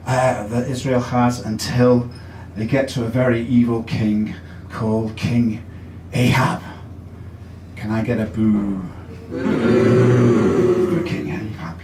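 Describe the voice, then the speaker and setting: A man's voice: a little high, distant mic, slight background noise. English man doing stand-up in an echoey basement. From England. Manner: chatty and self-deprecating, with frequent uh fillers. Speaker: stand-up comedian. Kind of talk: stand-up comedy set. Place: basement comedy club.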